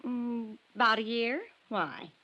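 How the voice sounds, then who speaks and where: High-voiced, inquisitive radio landlady. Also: slow pace, sharp tone, exaggerated voice. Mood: polite, curious. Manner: courteous, probing. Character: polite but inquisitive female apartment house manager. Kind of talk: old-time radio drama acting. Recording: dull, muffled old radio recording.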